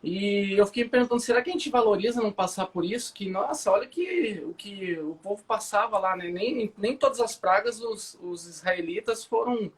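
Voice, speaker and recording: A man, hosting a morning Bible live stream, relaxed and chatty, often restarting sentences. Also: high-pitched, moderate pace, hardly any pauses. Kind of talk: casual chat, prayer and Bible commentary. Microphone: phone or webcam for a live stream.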